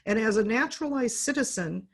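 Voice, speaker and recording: A woman's voice: on the high side, animated, brisk, gravelly, breathy edge. A woman hosting an informal political interview in an effusive, supportive manner. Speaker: interviewer and host.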